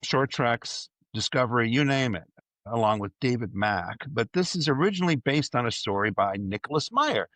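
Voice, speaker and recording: A man's voice: mid-range voice, lively intonation, normal speed, a bit bright, dry recording. A man in a podcast discussion with a mid-range voice and very dry sound. He explains in a casual, conversational way, hedging and rephrasing as he goes. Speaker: podcast panelist.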